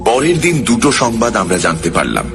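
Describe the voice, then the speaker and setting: A man's voice: on the low side, wildly swooping pitch, fairly quick, vocal fry. A man narrating a ghost story in the first person, in a suspenseful, theatrical manner. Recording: loud music under the voice. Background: loud music.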